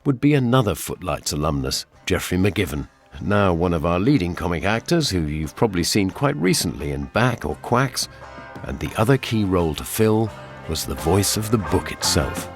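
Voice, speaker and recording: Deep-voiced older English documentary presenter. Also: male voice, big pitch swings, moderate pace, soft background music. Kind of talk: scripted radio documentary narration.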